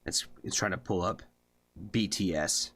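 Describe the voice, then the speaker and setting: A man's voice: sits low, a little slow, a bit bright. A man hosting a music reaction livestream, talking loosely and swearing freely, with lots of fillers and a habit of asking "you know what I mean?". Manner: laid-back, rambling with many fillers. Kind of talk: casual livestream chat.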